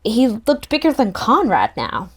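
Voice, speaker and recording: A woman narrating a first-person novel in a relaxed, expressive table-read style. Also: on the high side, unhurried, breathy edge.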